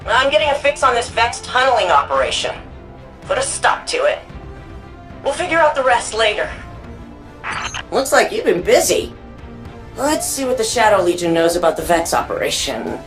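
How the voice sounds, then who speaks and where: Video game role: nerdy librarian woman, wry, chatty, animated delivery, faint music behind. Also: a little high, moderate pace, pauses often. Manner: chatty, informal, wry, geeky. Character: quirky, eager, nerdy young woman archivist and librarian. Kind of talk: video game character dialogue.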